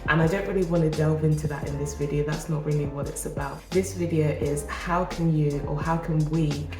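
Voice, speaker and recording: A woman's voice: low-pitched, fairly even intonation, brisk. A woman talking to camera as a self-improvement vlogger, encouraging and casual, her voice nasal and groggy from a cold. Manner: earnest, encouraging, casual with restarts. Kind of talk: motivational talk to camera. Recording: roomy echo with music behind. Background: background music.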